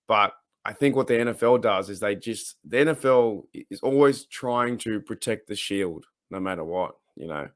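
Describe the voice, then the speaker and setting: A man's voice: fairly low pitch, no room sound, studio quality. A man with an Australian accent hosting a casual sports podcast, talking in a rambling, hesitant way. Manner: rambling, hesitant, halting delivery. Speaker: podcast host.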